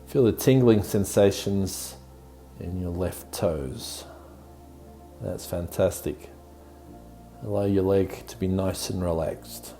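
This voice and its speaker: A man with a deep, mellow voice hosts a guided meditation. He gives soothing, gently encouraging instructions at a very unhurried speed. Music plays behind him. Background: background music.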